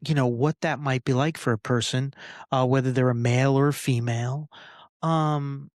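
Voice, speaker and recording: A man in his forties talking casually as a podcast host, venting with halting, repetitive phrasing and some swearing. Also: medium pitch, fairly even intonation, dry recording, clean studio sound. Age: 40s. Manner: frustrated, sweary. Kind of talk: casual personal rant to listeners.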